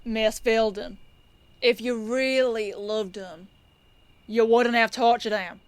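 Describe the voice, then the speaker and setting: Woman acting a composed detective, highish voice, close and dry. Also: steady tone, slow pace, no echo. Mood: stern. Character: composed female police lieutenant detective, firm yet kindly. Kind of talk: audio roleplay acting.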